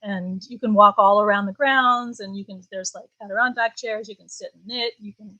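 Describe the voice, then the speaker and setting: A woman who owns a shop and designs knitwear, talking casually as a guest, relaxed and animated with many "like"s and "um"s. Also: medium pitch, brisk, no room sound, lo-fi sound. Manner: relaxed, animated, laughs easily, says "like" and "um". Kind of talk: casual conversational interview.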